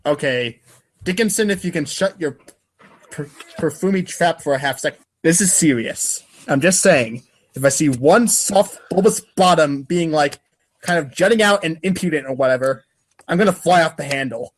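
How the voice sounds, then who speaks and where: A man's voice: on the high side, lively intonation, normal speed. A man reading the part of an exasperated, sarcastic, foul-mouthed teenage boy in a comic script reading, ranting wordily.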